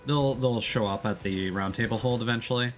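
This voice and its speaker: A man's voice: medium pitch, a little fast. A man giving casual let's play commentary as a game streamer, musing and thinking aloud. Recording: clear background noise behind voice.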